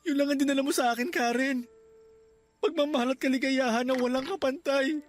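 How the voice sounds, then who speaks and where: A man's voice: very high-pitched, steady tone, brisk, a little hiss or hum. An actor playing an injured young man, a forgiving boyfriend in a radio drama who speaks tenderly and earnestly. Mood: grateful, emotional. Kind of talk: classic radio-drama acting.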